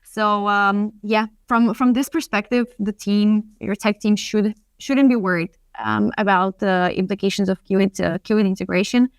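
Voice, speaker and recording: A customer success lead answering questions in a webinar, reassuring and full of small ums and restarts. Her voice is smooth and clear, recorded dry and close over a video call. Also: mid-range voice, fairly even intonation, normal speed, no room sound.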